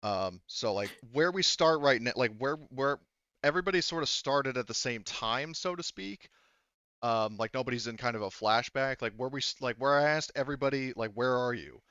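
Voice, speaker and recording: Guy game mastering, smooth lowish voice, informal and rambly, dry but slightly dull audio. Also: normal speed, sharp tone, no echo. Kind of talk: casual narration of a tabletop roleplaying game.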